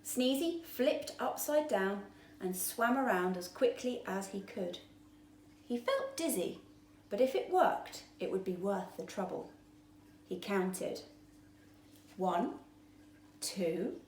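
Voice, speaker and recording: A woman reading her own children's picture book aloud with slow, expressive storytelling. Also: on the high side. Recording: roomy echo, faint background noise.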